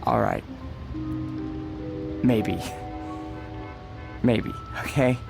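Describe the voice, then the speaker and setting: A man's voice: medium pitch, fairly even intonation, extremely slow, vocal fry, music in the background, exaggerated voice. An actor playing a policeman in a radio drama, hesitant, conversational and placating. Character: reassuring, injured policeman humouring an old woman. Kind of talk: radio drama acting, naturalistic.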